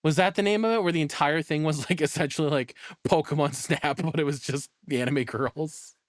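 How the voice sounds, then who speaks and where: A man's voice: fairly high pitch, a little fast, no echo, studio quality. A man giving casual reaction commentary as a streamer, rambling, opinionated and free with swearing.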